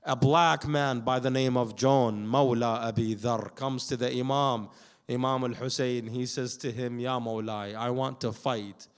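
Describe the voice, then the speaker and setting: Man, born in Iraq, raised in Canada, sermon, recites and translates Arabic, swings from hushed to emphatic. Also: mid-range voice, fairly even intonation, a little slow, smooth. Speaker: speaker at a Muharram gathering.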